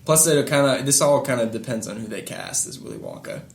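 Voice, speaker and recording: A man's voice: medium pitch, wildly swooping pitch, a little fast, vocal fry. A man co-hosting a podcast in casual banter, giving blunt opinions. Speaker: podcast co-host.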